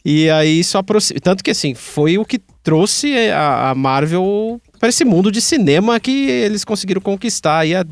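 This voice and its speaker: A man's voice: high-pitched, animated, normal speed, few pauses, dry recording. A Brazilian man talking casually as a participant on a pop-culture podcast.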